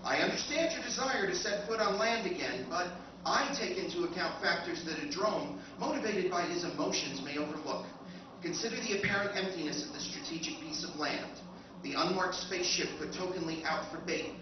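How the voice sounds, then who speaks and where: A man's voice: high voice, fairly quick, crowd noise, natural voice. A man playing a stubborn, militaristic lifeboat computer, formal and declamatory, in a live recreation of 1950s radio science fiction. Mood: vigilant. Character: solemn, deluded, militaristic female lifeboat computer, motherly and stubborn. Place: convention performance room, picked up from afar. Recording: echoey convention hall, distant muffled noisy recording.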